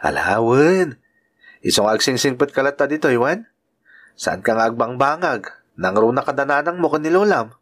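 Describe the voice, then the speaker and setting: A man's voice: medium pitch, expressive, normal speed, fairly bright tone. A man with an Ilocano accent playing a good-natured bachelor uncle and devoted son in a radio-style drama. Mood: easygoing, fond.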